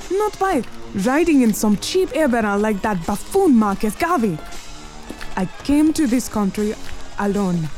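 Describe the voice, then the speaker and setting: A woman playing a proud, commanding businesswoman in 1920s Harlem, imperious and cutting, speaking with slow, deliberate weight. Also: on the high side, very animated, darkish tone, soft background music. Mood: haughty commanding. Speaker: lead character. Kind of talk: 1920s period drama acting.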